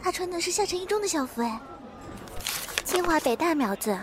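A woman playing a gossipy, excitable teenage student in an audio drama, chattering away. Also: very high-pitched, brisk, background noise. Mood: gossiping. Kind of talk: amateur Chinese audio drama acting.

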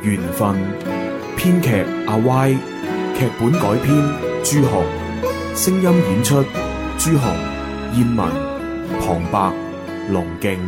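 Male title announcer, mid pitch, deliberate slow delivery for radio play credits. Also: sing-song. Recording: loud plucked-string music bed.

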